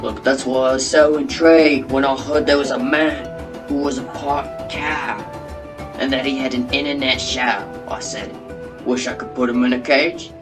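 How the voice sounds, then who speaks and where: A man's voice: medium pitch, steady tone, normal speed, over music, lightly acted. A man playing a shady, boastful animal wrangler as a comedy show guest, rambling in slangy, matey patter.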